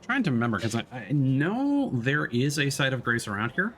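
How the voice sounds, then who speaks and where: A man's voice: mid-range voice, big pitch swings, normal speed, fairly bright tone, a little hiss or hum. A man doing casual live game commentary, thinking aloud and talking playfully to himself and the game. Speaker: streamer.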